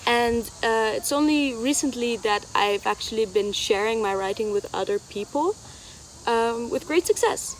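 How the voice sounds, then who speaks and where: A woman's voice: fairly high pitch, lively intonation, moderate pace. A nineteen-year-old woman student who grew up in Tanzania, introducing herself. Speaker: university student, columnist nominee. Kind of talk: self-introduction to camera. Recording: noisy outdoor ambience with insects.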